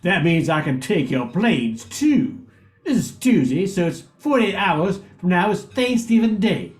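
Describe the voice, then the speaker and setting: A man's voice: sits high, sing-song, measured pace, echoey room. A man playing a pompous, gloating banker villain in an old-time radio play. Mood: menacing. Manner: pompous, menacing, theatrical.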